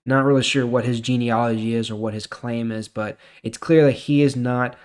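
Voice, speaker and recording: A man with a medium-pitched voice hosts a Bible reading series. He reads scripture and explains it in plain, informal terms, leaning on key words as he goes. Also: steady tone, moderate pace. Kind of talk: scripture reading with casual commentary.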